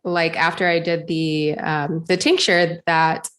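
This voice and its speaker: A woman host with a lowish, nasal voice, her nose stuffy from a recent cold. She talks in an easy, chatty way, adding personal asides as she asks her guest questions. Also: little pitch movement, slow, fairly bright tone. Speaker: podcast host. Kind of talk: casual interview chat.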